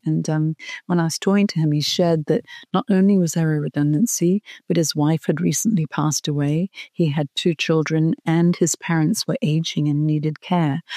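A woman's voice: low voice, warm tone, no room sound. Middle-aged woman from hong kong, earnest coach talking it through, dry close sound. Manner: earnest, reflective, with restarts. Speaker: career coach guest. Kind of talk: interview storytelling.

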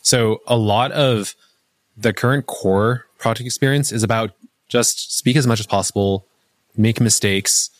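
A man answering interview questions in a measured, explanatory way, with many "like" fillers. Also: on the low side, no room sound. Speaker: language learning app founder.

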